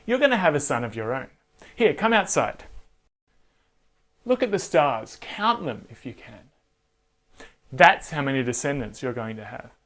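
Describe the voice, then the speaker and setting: A man voicing God as a solemn, commanding deity in a children's Bible story, speaking in measured, authoritative phrases. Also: medium pitch, big pitch swings, fairly bright tone, voice pushed down.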